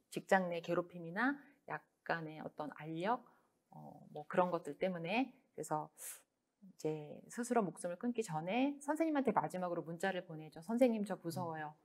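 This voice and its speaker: A woman author giving a book talk, speaking in a soft, measured way with many pauses and fillers. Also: on the low side.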